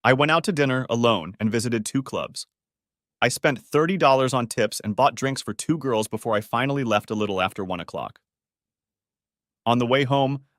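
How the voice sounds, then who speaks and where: A man's voice: mid-range voice, a bit bright, no echo, studio quality. A man reading a scripted first-person story as its narrator.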